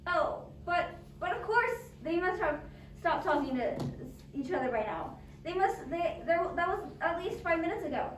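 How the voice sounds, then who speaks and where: A woman playing a nervous, imperious, demanding invalid wife on the telephone in a radio play, agitated and halting. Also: extremely high pitch, sing-song. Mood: urgent. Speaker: amateur drama club actor. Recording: echoey, distant, noisy amateur recording.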